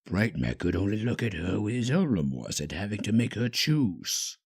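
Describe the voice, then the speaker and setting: Very deep, gravelly male story narrator. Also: big pitch swings, normal speed. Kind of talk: scripted dramatic story narration.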